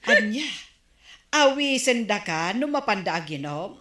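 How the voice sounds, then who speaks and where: A woman's voice: medium pitch, big pitch swings, a little slow, pushed and bright. A woman playing a blunt, teasing village woman in an Ilocano radio comedy drama, with an Ilocano Filipino accent. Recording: roomy, echoing studio sound.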